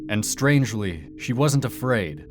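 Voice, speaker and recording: A man reading a dark fairy tale as a dramatic, scripted storyteller. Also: medium pitch, animated, measured pace. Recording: music behind the voice.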